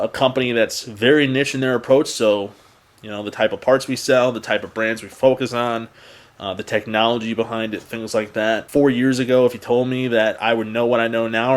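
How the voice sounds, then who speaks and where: Young man chatting casually to viewers. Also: mid-range voice. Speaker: car channel host. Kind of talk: casual unscripted vlog talk to viewers.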